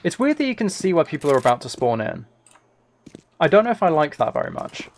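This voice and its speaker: A man's voice: fairly high pitch, very animated, quick pace, slight background noise. A man giving casual, chatty, self-deprecating commentary while he plays a game on a live stream. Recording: game gunfire and clicks behind voice. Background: video game gunfire, clicking.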